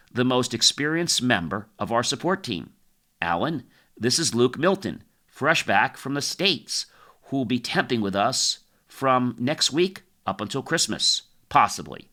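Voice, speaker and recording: Man voicing a lively, breezy woman office colleague. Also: medium pitch, unhurried. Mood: friendly, breezy. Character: friendly, chatty woman office colleague making introductions. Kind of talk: audiobook character dialogue.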